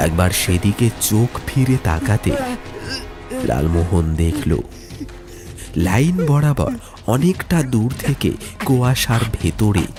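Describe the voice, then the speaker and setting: A man narrating a scripted ghost story in a suspenseful, deliberate way with dramatic pauses. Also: mid-range voice.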